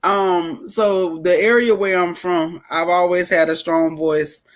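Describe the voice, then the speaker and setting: A woman's voice: on the low side, fairly even intonation, slow. A woman, guesting on a podcast over a video call and telling her story bluntly and plainly. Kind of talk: casual storytelling.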